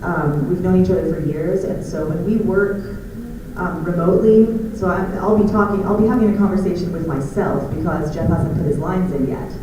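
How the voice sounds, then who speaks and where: A woman's voice: on the low side, fairly even intonation, normal speed, few pauses. A woman giving an informal conference talk as an audiobook narrator, chatty, scattered and self-deprecating. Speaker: audiobook narrator and director at an audio theater company. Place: large conference room indoors. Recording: echoey room, distant dull mic, clear noise.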